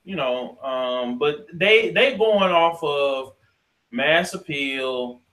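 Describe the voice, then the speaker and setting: An American man co-hosting a casual podcast debate, talking in slang, often halting and repeating himself. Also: fairly high pitch, animated, a bit bright, roomy sound, low-quality recording. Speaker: podcast co-host.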